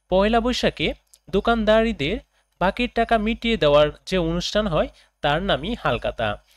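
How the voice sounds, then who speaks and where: A man, a teacher reading out lesson questions and answers for students in a deliberate, phrase-by-phrase way. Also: high voice.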